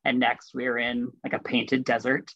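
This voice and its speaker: A man's voice: mid-range voice, flat delivery, normal speed, sharp tone. A man from Russia co-hosting a podcast, chatting casually as a curious, responsive listener. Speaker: podcast co-host. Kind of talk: casual chat. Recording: dull lo-fi recording.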